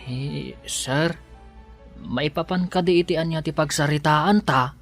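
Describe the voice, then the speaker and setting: A man's voice: fairly high pitch, unhurried, a bit bright, soft background music. An actor playing a humble, earnest young working man in love, speaking hesitantly and respectfully with an Ilocano accent in a radio drama. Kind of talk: Filipino radio drama acting.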